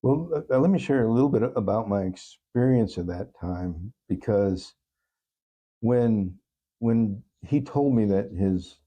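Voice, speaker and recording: A man speaking as an interview guest, giving earnest, thoughtful answers in a halting, reflective way. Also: on the low side, muffled recording. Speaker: talk show guest.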